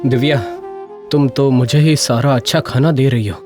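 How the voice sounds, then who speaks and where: A man voicing an affectionate, easygoing young husband from a poor household in an animated moral story, speaking tenderly and earnestly. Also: mid-range voice, moderate pace, over music, lightly acted. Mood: worried, tender.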